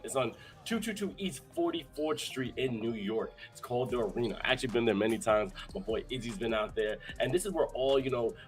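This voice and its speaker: A young adult man hosting a live streamed show, hyped and energetic, his voice hoarse from talking so much. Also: mid-range voice. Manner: energetic, shouting out viewers in chat. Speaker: host.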